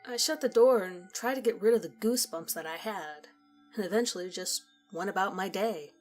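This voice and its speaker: A woman narrating a scripted first-person story in a conversational, measured storytelling manner. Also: medium pitch. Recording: music behind the voice. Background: background music.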